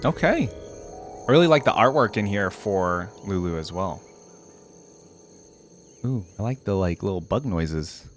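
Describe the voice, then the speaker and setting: A man's voice: on the low side, lively intonation, measured pace, pauses often, a little hiss or hum. A man giving casual, easygoing gaming reaction commentary, informally thinking aloud as he hosts. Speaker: reaction video host.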